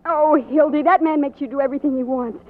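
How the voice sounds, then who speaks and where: High, plaintive young fiancée played by an actress. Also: female voice, fairly even intonation, fast, lightly acted. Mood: hurt, reproachful. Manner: plaintive, scolding. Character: anxious, reproachful young fiancée. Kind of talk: 1940s radio acting. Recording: tinny old radio recording.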